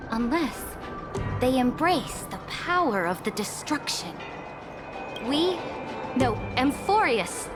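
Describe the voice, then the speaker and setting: Game role: haughty, ruthless empress, high-pitched and stately, over prominent music. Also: female voice. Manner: formal, stately, declamatory. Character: imperious female emperor, proud, cold, ruthless. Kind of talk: dramatic video game voice acting. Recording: background music under the voice.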